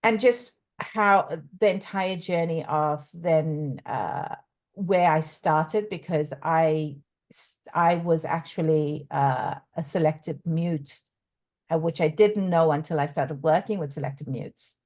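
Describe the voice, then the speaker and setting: A middle-aged woman from South Africa, a coach and author, talking in a casual interview with many pauses and restarts. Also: low voice, darkish tone. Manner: halting, many ums and restarts, laughs often.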